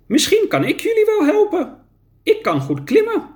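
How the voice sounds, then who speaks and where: A man's voice: very high-pitched, wildly swooping pitch, normal speed, darkish tone, pitched above the performer's own voice, exaggerated voice. A man reading a storybook and voicing a small, nimble, eager young male climber.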